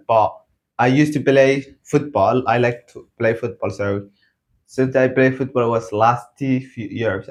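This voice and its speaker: A man from Somalia with a Somali accent speaks casual, halting learner English to camera, repeating phrases as he practises. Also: mid-range voice. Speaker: English learner and video blogger.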